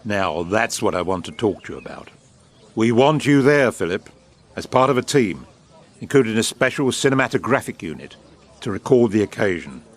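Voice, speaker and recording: Man playing a low-voiced, suave British wartime official. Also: big pitch swings. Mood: brisk, confident. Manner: urbane, eloquent, measured, grandiloquent. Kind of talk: period audio drama acting.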